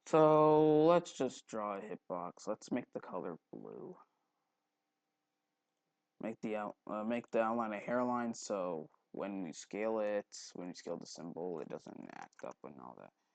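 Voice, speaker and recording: A man hosting a casual, unscripted screen tutorial, haltingly repeating words and muttering to himself, recorded on a headphone mic at his neck. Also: fairly low pitch, big pitch swings, gravelly, darkish tone, dry recording. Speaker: tutorial host. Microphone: headphone mic held at the neck.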